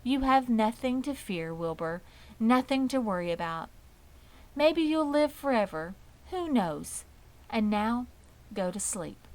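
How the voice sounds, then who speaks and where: Woman playing a female spider, storybook, calm, wise, motherly, reassuring, measured delivery. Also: fairly high pitch, pitched above the performer's own voice, rough recording.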